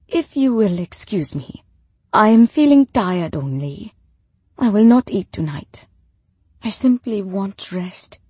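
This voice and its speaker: A woman's voice: medium pitch, very animated, slow pace, mellow, breathy edge, no room sound, heavily acted. A woman voicing a proud, frail and withdrawn widow in an audiobook, with stiff, formal, clipped phrasing. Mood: weary, curt.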